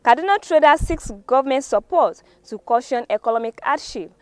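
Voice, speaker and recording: A woman's voice: sits high, expressive, brisk, no echo. A woman with a Nigerian accent presenting a newspaper review, reading formally from the stories with occasional stumbles. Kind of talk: scripted news reading. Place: studio.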